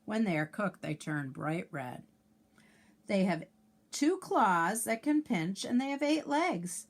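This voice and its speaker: Lady teacher reading a kids picture book, slow and sing-song, pitch goes up and down a lot. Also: sing-song. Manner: sing-song, enunciating letters for young children; adds asides and questions to young listeners. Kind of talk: read-aloud of a children's alphabet picture book.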